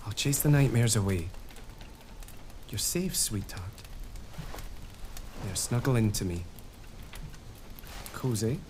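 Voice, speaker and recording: Casting: a warm-hearted laird soothing his wife, expressive delivery. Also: on the low side, takes it slowly, pauses often, a little hiss or hum. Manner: tender, reassuring. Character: devoted laird, gentle and teasing husband. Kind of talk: period audio drama.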